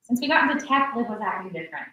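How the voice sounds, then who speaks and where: A woman's voice: fairly high pitch, expressive, very fast, bright, forceful tone. Actress as a dry-witted young woman, echoey room. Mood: uneasy. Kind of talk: staged reading of a play. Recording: echoey, noisy, distant mic.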